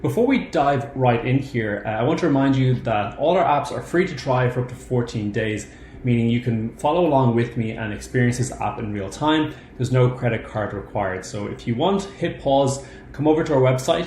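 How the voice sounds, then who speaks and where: Man, software walkthrough narrator, informal instructional delivery, middle-pitched voice, roomy echo, background noise. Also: expressive, moderate pace. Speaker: software tutorial presenter. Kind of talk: step-by-step screen demo narration.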